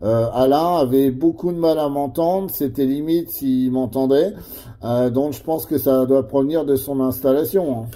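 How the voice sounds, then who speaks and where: A man of average pitch with a deep, dark, mellow tone. He chats over CB radio and explains things at length, hesitating often. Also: normal speed. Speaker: CB radio operator. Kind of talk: casual radio chat.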